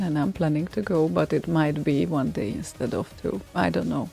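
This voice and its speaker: A woman's voice: low-pitched, creaky, mellow. A woman hosting a radio show, chatting casually in a rambling way with many fillers and a dry, teasing humor. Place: radio studio.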